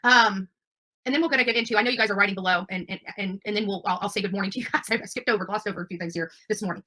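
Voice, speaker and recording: A woman's voice: medium pitch, fairly even intonation, racing, sharp tone. A woman hosting a channel, talking casually to her audience in a rambling, emphatic, defensive way. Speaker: livestream channel host. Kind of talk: casual livestream monologue to audience.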